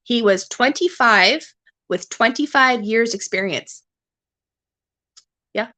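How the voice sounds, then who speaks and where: A woman's voice: fairly high pitch, takes it slowly, sharp tone, dry recording. A Canadian woman in her forties chatting casually with viewers on a livestream, rambling with many restarts and fillers. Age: 40s. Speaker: livestream host. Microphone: webcam or phone.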